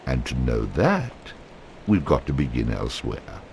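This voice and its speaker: A deep, dramatic narrator. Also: male voice, very animated, darkish tone, slight background noise, exaggerated voice. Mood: solemn. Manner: measured, dramatic delivery. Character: grandly solemn male narrator, prone to getting carried away. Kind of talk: scripted storybook narration.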